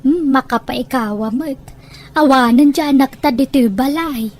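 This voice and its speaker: Woman as a high-voiced nagging older mother. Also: moderate pace, a little mellow. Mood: fretful. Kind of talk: dialogue in an Ilocano family audio drama.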